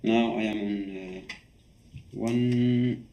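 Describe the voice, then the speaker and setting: Creaky-voiced man, halting English. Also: lowish voice, fairly even intonation, dark tone, a little hiss or hum. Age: 30s. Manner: halting, plain non-native English with repeated phrases. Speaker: former detainee being interviewed. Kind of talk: candid interview.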